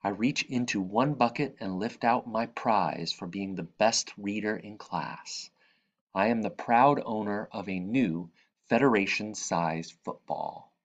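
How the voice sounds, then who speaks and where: A man reading a children's picture book aloud, expressively, with dramatic and deliberate phrasing. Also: fairly low pitch, lo-fi sound. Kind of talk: expressive read-aloud narration.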